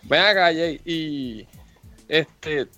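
Guy hosting a podcast, mid pitch, rambly questions, dull sound w faint music. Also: very slow, sharp tone, dry recording. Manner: loose and meandering, lots of fillers. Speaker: podcast host. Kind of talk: casual podcast interview. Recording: slightly muffled, faint music behind.

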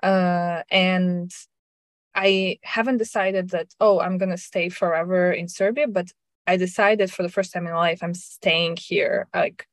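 A woman from Russia in her thirties, talking reflectively in a casual chat, with soft laughs and frequent restarts. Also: on the low side, steady tone, measured pace, dry recording, clean studio sound. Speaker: guest sharing her story.

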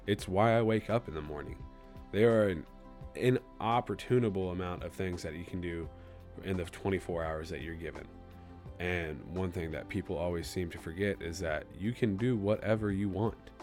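A man's voice: low-pitched, darkish tone. A young man from Kansas City in his twenties, hosting a solo podcast in an earnest, reflective, loosely rambling way. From Kansas City, USA. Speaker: podcast host.

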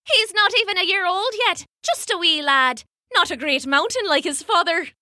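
A woman's voice: very high voice, animated, moderate pace, sharp tone, no echo, clean studio sound, pitched above the performer's own voice, full character voice. A woman voicing a clever, scheming giant's wife in a storybook tale, with a sly, coaxing sweetness.

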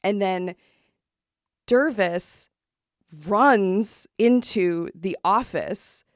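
A woman's voice: medium pitch, drawn-out words, dry recording, clean studio sound. A woman co-hosting a casual book podcast, retelling a true story with lots of fillers and restarts. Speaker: podcast co-host.

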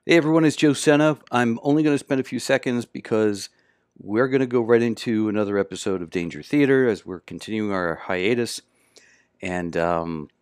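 Deep-voiced man, casual podcast host. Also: no echo, clean studio sound.

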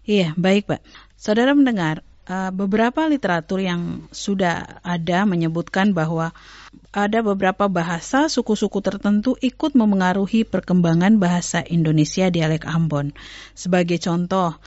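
A woman language researcher speaking as a guest on an educational radio talk, explaining at length with frequent pauses and self-corrections. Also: on the low side, darkish tone, no room sound. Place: radio studio. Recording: dull lo-fi radio studio.

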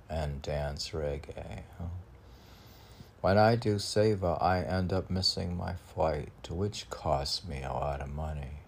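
A man reading his own poems and adding casual, rambling asides in a musing, halting manner. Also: deep voice, a little mellow. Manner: musing, halting, talks back to own lines.

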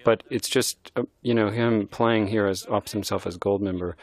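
A middle-aged man, a film director, giving an admiring, halting film commentary. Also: on the low side, fairly even intonation. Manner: thoughtful, admiring, halting restarts.

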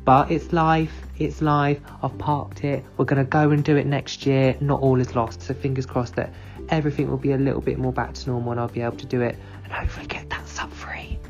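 A man's voice: medium pitch, little pitch movement, a little fast, creaky, muffled recording, faint music behind. A man sending a recorded congratulatory voice message to a podcast host, bubbly and gushing. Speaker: former podcast guest.

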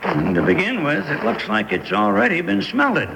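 A man's voice: high-pitched, lively intonation, normal speed, vocal fry, heavily acted. A man playing a shrewd, suspicious sheriff in a radio western, with a folksy rural Western American accent. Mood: musing suspicion. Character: shrewd, suspicious male Old West sheriff. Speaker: actor. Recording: noisy vintage radio broadcast.

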